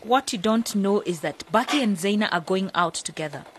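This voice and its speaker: A woman's voice: medium pitch, animated, normal speed, a little hiss or hum, close to an everyday voice. A woman playing an earnest, exasperated young daughter protesting in a radio drama. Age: young adult.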